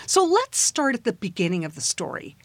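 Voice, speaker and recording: A woman's voice: mid-range voice, wildly swooping pitch, brisk, no room sound. Female interviewer, reads intro then asks questions, dry close mic. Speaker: host. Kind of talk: radio interview.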